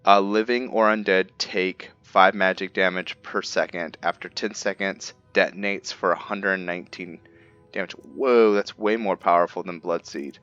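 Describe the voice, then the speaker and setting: A man doing casual roleplay commentary over a video game, thinking aloud in a halting way. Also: deep voice, steady tone, a bit bright, clear, resonant tone, dry recording, lo-fi sound. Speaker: gaming video host. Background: game music.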